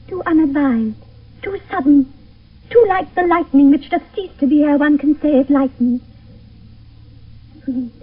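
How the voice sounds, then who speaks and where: A British actress playing an ardent, anxious young maiden in love, speaking verse in a theatrical classical radio drama style. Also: female voice, high voice, husky, full character voice. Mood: anxious pleading, then tender, adoring. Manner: theatrical, fervent verse delivery. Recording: old lo-fi phone-like radio broadcast, distant.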